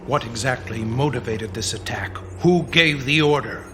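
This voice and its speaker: A stern, authoritative president in a radio drama, voiced by a man. The male voice is medium in pitch and measured, recorded with noticeable background noise. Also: close to an everyday voice. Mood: probing, concerned.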